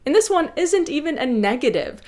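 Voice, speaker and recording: A woman's voice: high voice, very animated, a little fast, a little mellow. A woman hosting a video essay, narrating a scripted lesson and playfully addressing viewers like a schoolteacher.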